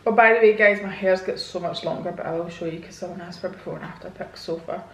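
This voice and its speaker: A woman's voice: on the low side, fast talker, echoey room, a little hiss or hum, rough recording. A woman, chatting frankly and casually to her viewers while answering their questions. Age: 20s. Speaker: vlogger. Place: home, indoors. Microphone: camera mic.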